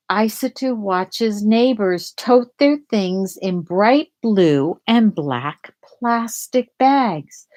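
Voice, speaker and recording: Slow-paced woman reading a picture book. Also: mid-range voice, a little mellow. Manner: deliberate, explains words and story to young listeners. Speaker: storybook reader. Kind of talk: read-aloud of a children's picture book with explanatory asides.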